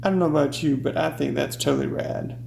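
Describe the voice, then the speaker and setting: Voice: medium pitch, quick pace, vocal fry. A science teacher doing a playful hands-on demonstration for children, exclaiming with delight as the experiment works. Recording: echoey room with steady hum.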